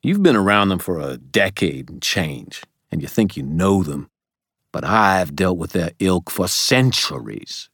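A man performs an angry old wizard grandfather from a fantasy audiobook. He is stern and forceful, pleading and scolding in a low voice with a dry, close sound. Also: very animated, unhurried, no room sound, full character voice. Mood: bitter warning.

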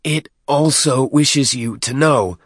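A small, servile alien deacon as a man acts him out in a science fiction audiobook. The voice is raised high into a strained squeal. He speaks with nervous, pleading, overly careful courtesy in a dry studio sound. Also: slow, slightly rough, dry recording. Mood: anxious. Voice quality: muffled squeal.

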